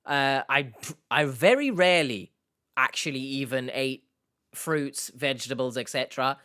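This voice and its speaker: A man's voice: medium pitch, lively intonation, unhurried, sharp tone. A young man, hosting a casual Q&A, rambling and joking as he answers viewer questions. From Turkish Cypriot heritage, based in the UK. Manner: jokey, rambling, self-mocking. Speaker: YouTube host.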